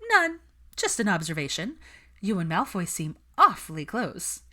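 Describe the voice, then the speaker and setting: A woman's voice: on the low side, big pitch swings, moderate pace, bright, forceful tone, exaggerated voice. A woman voicing a brash, teasing young witch who pries at her friend in a smug, dramatic way, in a story reading. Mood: teasing, probing.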